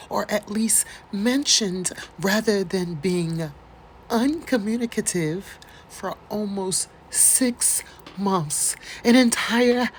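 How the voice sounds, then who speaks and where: Low-pitched American woman, theatrical and mocking. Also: a little hiss or hum. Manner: theatrical, mocking, breaks into exclamations and asides. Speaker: host doing a dramatic reading. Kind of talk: dramatic read-aloud of a letter with casual mocking commentary.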